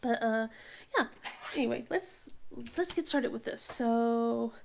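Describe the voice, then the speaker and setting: A woman chatting casually as she works on a craft project, halting with many ums and restarts, sniffly from a cold. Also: a little high, gravelly, slight background noise. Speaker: diamond painting vlogger. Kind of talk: casual chat while crafting.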